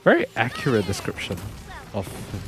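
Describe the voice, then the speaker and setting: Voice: mid-range voice, huge pitch swings, moderate pace, over music. A game streamer reacting casually while playing, terse, slangy and given to swearing.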